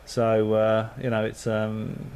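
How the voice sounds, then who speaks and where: A man's voice: on the low side, completely flat delivery, slow pace, gravelly, a little mellow, a little hiss or hum. A man on amateur radio, chatting casually and thinking aloud with lots of fillers. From Australia.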